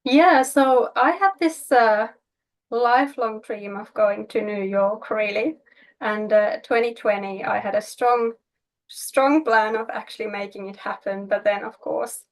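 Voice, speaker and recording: A woman's voice: medium pitch, steady tone, a little slow. A woman from Finland, a native Finnish speaker, talking casually as an interview guest. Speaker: interview guest, filmmaker and actor.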